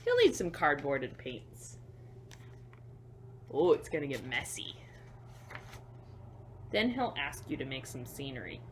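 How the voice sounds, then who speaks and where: A woman reading a picture book to children as a storyteller, expressive, with chatty, simple asides to young listeners. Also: rumbling low, unhurried, pauses often, a little hiss or hum.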